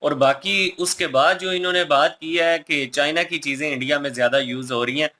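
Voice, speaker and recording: A man speaks as a co-host giving his opinions casually. His voice is fairly high and sharply bright. He explains his points with emphasis. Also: steady tone, fairly quick. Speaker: reaction video co-host.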